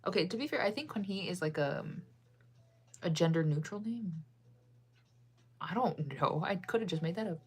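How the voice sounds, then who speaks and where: A woman's voice: lowish voice, expressive, normal speed, pauses often, slightly rough. A young woman from the United States chatting casually on a livestream, rambling with lots of fillers and self-corrections as she answers chat.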